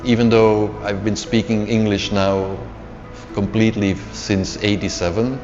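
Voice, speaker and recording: Interview guest: middle-aged man, Dutch native speaker, low voice, casual and unhurried, restarts words. Dull lo-fi sound, long reverb, faint music under it. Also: flat delivery, a little mellow. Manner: unhurried, repeats words while searching.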